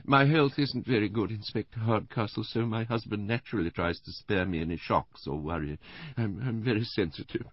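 A man's voice: lowish voice, fairly quick, dry recording, voice pushed up. A man voicing a middle-aged invalid wife in an audiobook, plaintive, hesitant and self-dramatising, with a faint whining voice. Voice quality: faint, whining, breathy sighing. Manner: hesitant, fussy, martyred. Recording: slightly dull, lo-fi dry recording.

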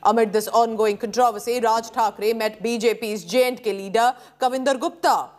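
An Indian woman in her thirties anchors the news. Her voice sits a little high. She presents in a studio with a standard broadcast delivery. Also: steady tone, fairly quick, darkish tone.

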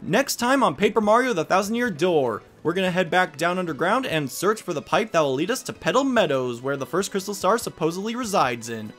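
A man giving upbeat, animated gameplay commentary as the host of a Let's Play. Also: high-pitched, a little fast, few pauses. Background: game music.

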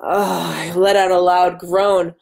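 A woman's voice: fairly low pitch, little pitch movement, slow pace, gravelly, a little mellow. A woman in her thirties hosting a live book reading with casual chat, her voice nasal from a cold. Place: small room at home, indoors. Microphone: webcam at arm's length.

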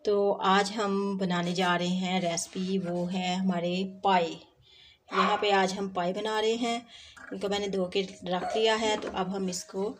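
A woman walks viewers through a home recipe in a relaxed, homely way, her pitch staying flat and even. She is filmed on a phone over the pot. Loud frying and stirring noise fills the kitchen recording. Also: a little slow. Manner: homely step-by-step narration while cooking. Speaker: cooking vlogger. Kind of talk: home cooking demonstration. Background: frying, sizzling and stirring sounds.

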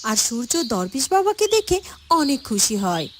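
A woman's voice: fairly high pitch, sing-song, moderate pace, background noise. A woman narrating a scripted fairytale cartoon. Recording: music behind the voice.

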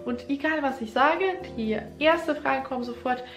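A woman's voice: high voice, measured pace, roomy sound, over music. A young woman who is not a native German speaker, talking earnestly to the camera about her own experience as a German teacher. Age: young adult. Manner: earnest, slightly non-native phrasing, speaks with hands. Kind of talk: casual talk to camera. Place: small room, indoors. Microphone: camera in front, head-and-shoulders framing.

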